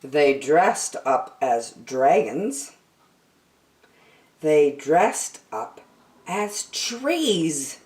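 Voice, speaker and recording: A woman reading a rhyming picture book aloud as a storytime host, expressive and theatrical, addressing young children. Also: sits low, extremely slow, long pauses. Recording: roomy, echoing sound.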